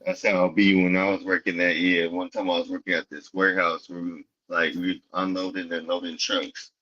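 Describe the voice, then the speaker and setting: A man's voice: low voice, flat delivery, brisk, pushed and bright. A man in his thirties chatting casually in a laid-back, agreeable way. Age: 30s. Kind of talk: casual chat. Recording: dull lo-fi sound.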